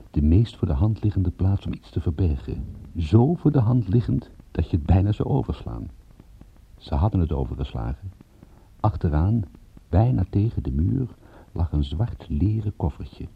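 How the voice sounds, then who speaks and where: An actor playing a wry private detective who narrates his own case in a husky, gravelly, sardonic voice for a radio drama. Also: low-pitched, heavily acted. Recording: dull radio drama recording.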